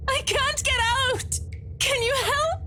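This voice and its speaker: An actress playing a frightened, weakened woman trapped in a cell, pleading haltingly in a tearful voice for a visual novel game. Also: extremely high pitch, fairly even intonation, heavy background noise. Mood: desperate. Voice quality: shaky, tearful.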